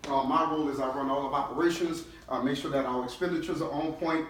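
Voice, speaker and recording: A man's voice: a little high, steady tone, quick pace, a bit bright. A middle-aged man, a chief executive, giving an emphatic motivational talk to his staff, often checking in with short questions. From New Orleans, United States. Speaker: CEO leading a team-building session. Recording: echoey room, distant mic, faint noise.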